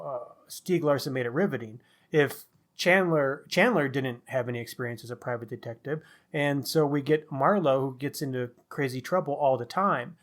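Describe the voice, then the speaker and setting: A man co-hosting a conversational book discussion, candidly thinking his opinions through aloud. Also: fairly high pitch. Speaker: podcast co-host.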